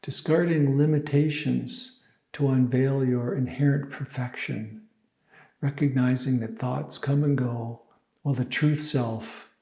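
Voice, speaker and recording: Slow, gravelly male meditation guide. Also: medium pitch, echoey room. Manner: calm, unhurried phrasing with pauses. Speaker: meditation teacher.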